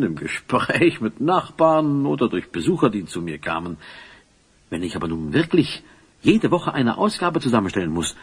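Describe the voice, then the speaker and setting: Husky, fussy village newsman. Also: medium pitch. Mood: earnest. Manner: hesitant, stammering, long-winded, formal. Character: fussy, awkward male village newsman, meekly persistent. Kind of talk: children's audio drama acting.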